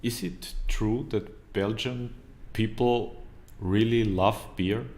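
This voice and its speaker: A man's voice: on the low side, fairly even intonation, darkish tone. A man moderating a live online Q&A, reading out viewer comments in an easygoing, joking way. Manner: slow and deliberate, joking, easygoing. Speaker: event moderator.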